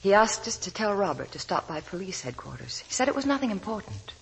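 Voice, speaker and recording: An actress playing an anxious, devoted young wife in 1940s radio drama, earnest and pleading, on a vintage broadcast recording. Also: female voice, medium pitch, very animated, fast talker, vocal fry, breathy edge, exaggerated voice. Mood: troubled recollection.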